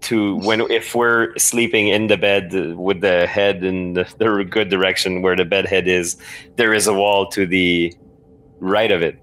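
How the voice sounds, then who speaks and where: A man with a low voice plays a spoken adventure game as a guest. He talks casually and thinks aloud, asking questions and working through each move hesitantly. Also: fairly even intonation, measured pace, a bit bright, poor audio. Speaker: podcast guest playing a text adventure.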